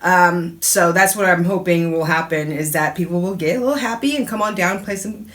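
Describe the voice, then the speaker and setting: A middle-aged woman hosting her own talk show in a chatty, casual way, laughing often and breaking into animated asides. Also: on the low side, a little fast. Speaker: radio host and DJ.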